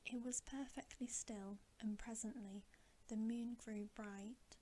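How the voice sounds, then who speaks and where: Woman whispering a slow bedtime story. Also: on the high side, fairly even intonation, poor audio. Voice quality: soft, breathy whisper. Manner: slow, gentle, unhurried delivery with pauses. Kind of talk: whispered ASMR storybook reading.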